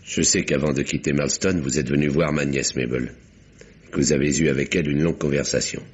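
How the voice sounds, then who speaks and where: A man's voice: rumbling low, fairly even intonation, a little fast. An actor playing an earnest, insistent older uncle in an old French radio drama, speaking formally and articulately as he probes. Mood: probing. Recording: dull, noisy vintage radio recording.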